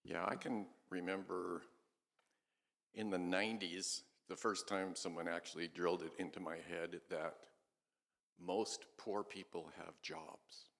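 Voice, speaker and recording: A man making emphatic, deliberately phrased points in a casual group discussion. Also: low voice, steady tone, gravelly, a bit bright. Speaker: class participant. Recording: reverberant hall.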